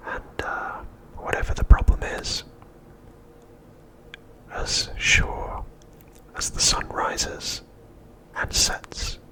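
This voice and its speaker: A man hosting ASMR in a breathy whisper, speaking in a gentle, soothing and reassuring manner. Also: extremely slow, pauses often, rough recording. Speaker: ASMR channel host.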